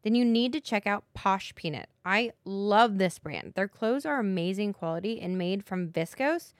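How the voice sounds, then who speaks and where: An enthusiastic woman co-host reads an ad and chats with guests on a dry, close mic. She keeps a medium pitch and an upbeat, friendly drive. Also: expressive, moderate pace, no room sound. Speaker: podcast co-host. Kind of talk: casual podcast chat and host-read ad.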